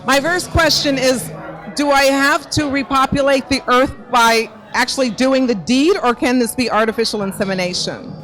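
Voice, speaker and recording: A woman speaking as an interview guest, quick and joking. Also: high voice, a bit bright, soft background music, low-quality recording. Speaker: guest entertainer. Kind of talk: interview answers and promotion.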